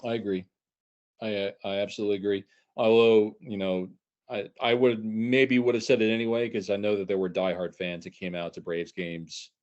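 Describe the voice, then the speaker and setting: A man's voice: on the low side, little pitch movement, normal speed, darkish tone. A man in his thirties chatting casually about sports as a podcast guest. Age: 30s. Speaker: podcast guest, stadium announcer. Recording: dull lo-fi audio.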